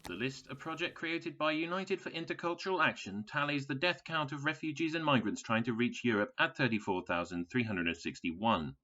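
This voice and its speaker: A man acting a measured radio news presenter in an audio drama, with formal broadcast delivery. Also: medium pitch, pushed and bright, lo-fi sound, slight background noise, natural voice.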